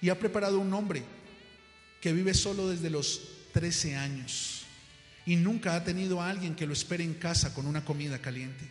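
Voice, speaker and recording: A man voicing a calm heavenly messenger in a told parable, solemn, soothing and unhurried. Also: fairly high pitch, close to an everyday voice. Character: calm heavenly messenger voice come to take José. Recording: echoey hall, music behind.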